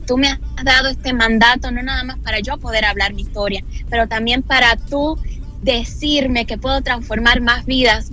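A woman from Cuba speaks as a guest on a radio show, earnest and devotional as she talks about her calling. Her voice is bright and fairly high. She joins over a remote call connection. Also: poor audio.

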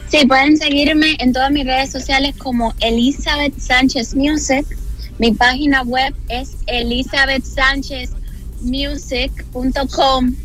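A woman from Cuba speaks as a guest on a radio show, earnest and devotional as she talks about her calling. Her voice is bright and fairly high. She joins over a remote call connection. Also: rough recording.

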